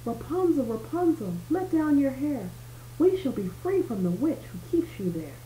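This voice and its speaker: A woman's voice: mid-range voice, big pitch swings, a little fast, dark tone, close to an everyday voice. A woman voicing a young prince from a storybook, ardent and bold, calling out with stretched words. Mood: hopeful calling. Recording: roomy home recording with background noise.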